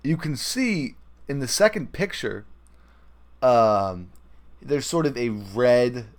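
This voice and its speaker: A man's voice: mid-range voice, animated, slow pace. A man talking casually about gaming as a podcast host.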